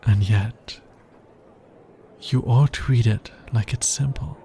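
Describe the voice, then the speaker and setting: A man's voice: medium pitch, slow, dark tone, slight background noise. A man playing an anxious, smitten alien scientist in an intimate ASMR roleplay, with hesitant, earnest and precisely formal speech.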